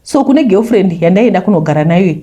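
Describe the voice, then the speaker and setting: A woman's voice: mid-range voice, lively intonation, a little fast, mellow. A woman with a Zimbabwean accent telling a first-person confession story in a conversational way, mixing in English phrases. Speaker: YouTuber reading a listener's confession.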